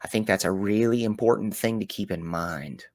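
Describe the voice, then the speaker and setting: A man with a Southern US accent talking through his thoughts in a reflective solo show, animated, with folksy turns of phrase and sudden loud bursts. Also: fairly low pitch, moderate pace, no echo, studio quality. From American South. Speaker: podcast host.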